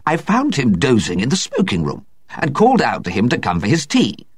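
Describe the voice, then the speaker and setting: A man voicing an agitated upper-class gentleman who talks in a breathless, excited way. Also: mid-range voice, brisk, voice pushed up. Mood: breathless excitement.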